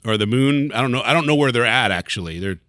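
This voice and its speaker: Man, podcast co-host, bright lively voice, dry close sound, rambling casual talk. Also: mid-range voice, fast, no room sound. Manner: rambling, laughs often, swears casually. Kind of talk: casual comics chat.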